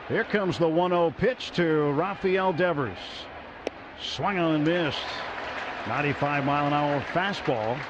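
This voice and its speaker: A man's voice: on the high side, measured pace. An American man doing live radio play-by-play commentary of a baseball game. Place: stadium broadcast booth. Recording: dull radio broadcast, loud stadium crowd, noisy. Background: loud crowd and stadium noise.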